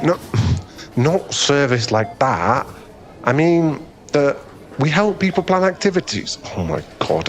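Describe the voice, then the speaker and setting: Low-voiced, bashful, flustered young man, acted by a man. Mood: embarrassed, flustered. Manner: hesitant, stammering, earnest, bashful. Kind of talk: ASMR boyfriend roleplay audio drama.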